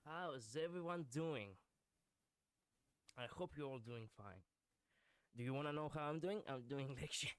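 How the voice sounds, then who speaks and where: A man's voice: a little high, normal speed, pauses often. Man with a cold, chatty stream greeting. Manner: chatty, joking about feeling rough. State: has a cold. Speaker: VTuber streamer.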